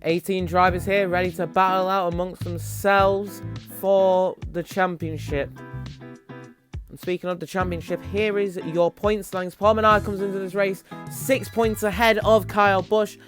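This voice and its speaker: A man's voice: sits high, flat delivery, moderate pace. A man giving motorsport race commentary as the host, with music behind him.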